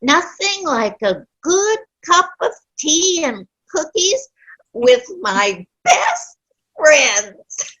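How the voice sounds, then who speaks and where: Giddy elderly comic lady, played by a woman: very slow, wildly swinging delivery, muffled video-call sound. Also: high voice, very animated, bright, forceful tone, heavily acted. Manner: giggly, whiny when wanting sweets. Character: giddy old woman, Jean, newly spry, craving treats. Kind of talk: virtual play reading, comedy.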